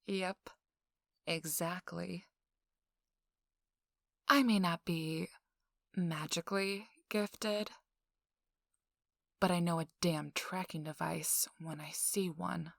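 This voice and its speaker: Woman acting a slow, sly, feisty socialite. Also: on the low side, fairly even intonation, vocal fry, a bit bright, dry recording, heavily acted. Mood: wry, confiding. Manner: slow, teasing, dry sarcasm, drifting into whispers. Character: feisty young rich socialite, sly, teasing, self-assured. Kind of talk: intimate ASMR audio roleplay monologue.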